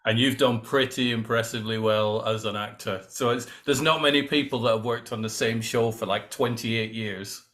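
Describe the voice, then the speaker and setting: A man with a hoarse voice hosts a casual chat with a cheerful, joking manner. His voice sits at an ordinary middle pitch. He is heard over a video call. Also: fairly even intonation, moderate pace, hardly any pauses, fairly bright tone. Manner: jokey, chatty and upbeat. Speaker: host.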